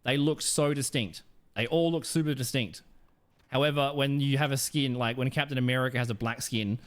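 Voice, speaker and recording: A man's voice: medium pitch, steady tone, fairly quick. A man streaming a game live, chatting casually with viewers and giving rambling, blunt commentary full of slang and some swearing.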